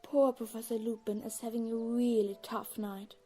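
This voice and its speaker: Woman acting a bossy, anxious teen witch, high-pitched, mellow timbre, audio drama. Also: little pitch movement, moderate pace, lightly acted. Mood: worried.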